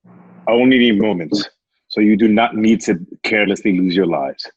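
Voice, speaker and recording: Man acting husky, noble gladiator leader. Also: on the low side, normal speed, no room sound, muffled recording. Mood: resolute. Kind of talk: amateur radio play acting.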